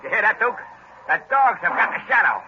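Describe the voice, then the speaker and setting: A man's voice: high voice, very animated, a little fast, heavy background noise. An actor playing a dim, drawling male thug in a 1940s radio drama. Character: slow-witted male thug Slim. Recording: dull lo-fi old radio recording.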